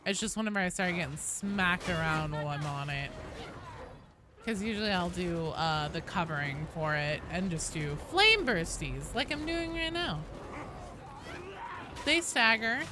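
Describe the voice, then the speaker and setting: A woman's voice: on the low side, lively intonation, unhurried, noisy background. A woman streaming a video game with casual, playful, foul-mouthed commentary and goofy asides into a close mic. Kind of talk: casual live gameplay commentary.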